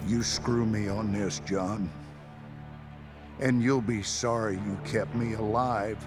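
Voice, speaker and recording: Defiant military general, low voice, clipped and commanding, game cutscene, music underneath. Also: male voice, fairly even intonation, slow. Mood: steely. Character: gruff older male army general, defiant. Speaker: army general.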